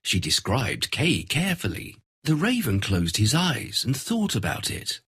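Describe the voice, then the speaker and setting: A man narrating a fairy tale in an expressive, scripted storytelling style. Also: mid-range voice, measured pace, husky, no echo, clean studio sound.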